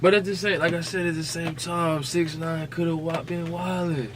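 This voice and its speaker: A man's voice: fairly high pitch, level tone, moderate pace, a crowd in the background. An American man co-hosting a podcast in casual, slangy conversation. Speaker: podcast co-host. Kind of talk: casual chat.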